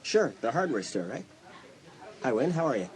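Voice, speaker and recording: A man's voice: mid-range voice, very animated, moderate pace, darkish tone, natural voice. An actor playing an easygoing, casual teenage boy in an audio drama. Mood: casual, friendly.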